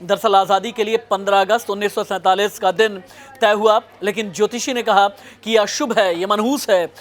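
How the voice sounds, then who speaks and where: A man's voice: high voice, a bit bright. A man presenting history as a news host, narrating it as a dramatic story in a suspenseful, emphatic manner.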